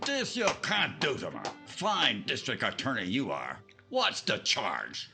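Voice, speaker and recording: A man's voice: medium pitch, wildly swooping pitch, a little slow, vocal fry, music in the background, exaggerated voice. A man playing a defiant, slangy gangland boss in the manner of a 1950s radio crime drama. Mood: indignant, defiant. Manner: tough slangy gangster talk, protesting. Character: defiant gangland boss and crooked politician, male.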